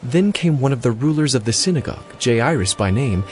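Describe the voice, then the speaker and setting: A man's voice: medium pitch, animated, fairly quick, a little mellow, a little hiss or hum. A man reading scripted narration for a dramatized audio Bible.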